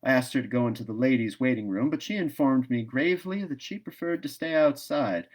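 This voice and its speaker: Man voicing a brisk, offhand station master. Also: mid-range voice, a little mellow, voice pushed up, lightly acted. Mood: brisk, amused. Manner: brisk, talkative, carelessly wry. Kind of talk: storybook read-aloud.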